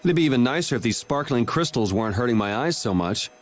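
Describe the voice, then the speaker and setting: A man's voice: medium pitch, lively intonation, fast, faint music behind, lightly acted. A man playing a cool-headed, plain-spoken young party leader in an English game dub, casual and matter-of-fact. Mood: calm, resolute.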